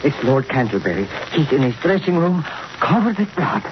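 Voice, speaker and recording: An anxious, humble steam-room attendant in an old radio mystery, halting and foreign in phrasing, with wide swings of pitch. The recording is muffled and clearly noisy. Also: male voice, very animated. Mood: alarmed. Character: agitated, deferential male Turkish bath attendant. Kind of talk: 1940s radio drama acting.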